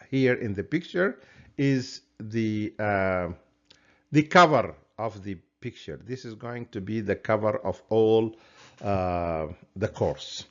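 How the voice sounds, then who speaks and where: A man's voice: mid-range voice, dull audio. A man teaching biology with a Sudanese accent that leans American, hesitant and full of fillers and restarts as he explains his course plan. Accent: Sudanese English with an American lean. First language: Arabic. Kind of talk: recorded lecture introduction.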